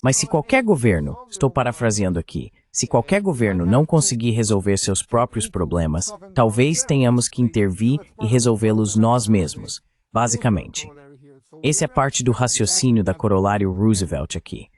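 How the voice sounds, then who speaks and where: A man's voice: lowish voice, expressive, moderate pace, darkish tone. A man with a Brazilian accent speaking as an interview guest, giving political analysis in a translated voice-over.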